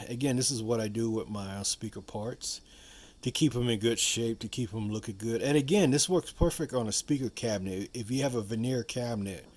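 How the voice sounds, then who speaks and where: A man's voice: on the low side, darkish tone. A man giving a casual hands-on how-to demonstration, rambling and repeating himself with frequent asides. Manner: rambling, repetitive, with frequent "you know" asides. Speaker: video host demonstrating a product.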